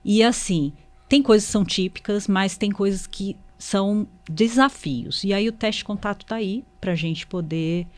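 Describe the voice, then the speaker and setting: A woman's voice: mid-range voice, normal speed, darkish tone, no room sound. A woman with a Brazilian Portuguese accent explaining and advising as a medical guest, conversational and instructive, often adding short check-in questions. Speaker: guest, dermatologist. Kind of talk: conversational expert explanation and advice.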